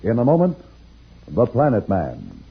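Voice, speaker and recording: A man's voice: lowish voice, wildly swooping pitch, unhurried, creaky, slightly rough. A man narrating an old-time radio serial as announcer, in a theatrical, declamatory scripted style. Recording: narrow-band vintage radio, loud music behind. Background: loud music.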